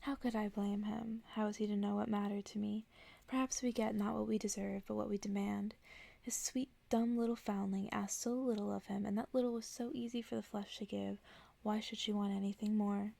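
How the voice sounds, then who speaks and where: A woman reading a bedtime story aloud in ASMR style, soft-spoken and often dropping into a whisper. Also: medium pitch, little pitch movement, brisk, gravelly, dry recording.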